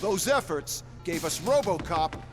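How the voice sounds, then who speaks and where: An actor playing a smug, flamboyant corporate executive in a game cutscene, sarcastic, showy and condescending. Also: male voice, high voice, lively intonation, a little slow, music in the background. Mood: showy salesmanship. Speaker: executive and product presenter. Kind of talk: theatrical video game cutscene acting.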